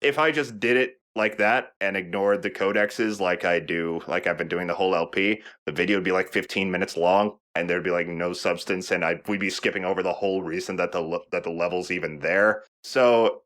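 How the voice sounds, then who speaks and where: A man with a low-pitched voice commentates over a video game as the host. He explains things in a casual, chatty way. He drops in joking asides and the odd swear word. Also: fairly even intonation, brisk, hardly any pauses, a bit bright. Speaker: let's play host and player.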